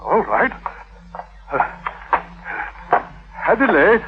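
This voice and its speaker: An actor playing a proper, hesitant grocer, earnest and lovesick, in an old radio drama. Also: male voice, high voice, big pitch swings, extremely slow, full character voice. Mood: nervous, excited. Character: earnest, lovesick, flustered bearded grocer courting a girl. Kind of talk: 1950s radio drama acting. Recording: muffled, noisy old radio recording.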